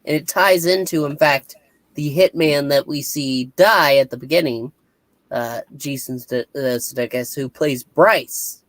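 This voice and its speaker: A man's voice: on the high side, fairly bright tone, no room sound. Rambling man hosting a show review. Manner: rambling, halting, self-correcting. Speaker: host. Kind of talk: casual show review chat.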